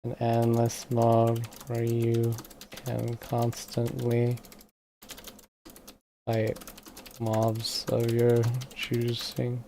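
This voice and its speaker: A game streamer chatting casually on a livestream, thinking aloud in a halting way while typing at a computer. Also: medium pitch, completely flat delivery, mellow, a little hiss or hum. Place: indoors at a computer. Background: music, keyboard typing.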